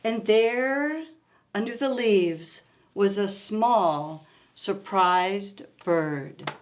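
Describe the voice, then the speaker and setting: A woman hosting a storytime, reading a picture book aloud to young children in an expressive, coaxing way and asking them questions. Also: mid-range voice, extremely slow.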